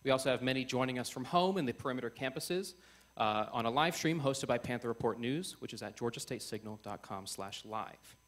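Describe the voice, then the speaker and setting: A man's voice: mid-range voice, expressive, a little fast. Young man, event host, formal and emphatic stage introduction on a microphone. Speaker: host of a student election debate. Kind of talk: formal speech introducing a debate. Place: on stage before a live audience.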